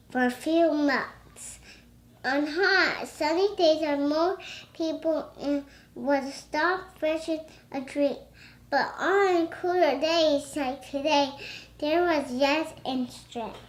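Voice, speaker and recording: Slow, high-pitched young child reading aloud. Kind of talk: halting read-aloud with excited chatter.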